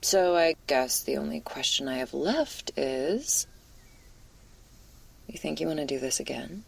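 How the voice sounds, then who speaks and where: A woman acts out a female stoner werewolf in an ASMR roleplay, laid-back and caring with an anxious streak. Her voice sits low and crackles with fry. She talks slowly and hesitantly in casual slang, slipping between a breathy murmur and a whisper. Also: expressive, full character voice. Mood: gentle, reassuring. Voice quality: husky, breathy, creaky. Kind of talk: intimate ASMR roleplay, spoken to the listener.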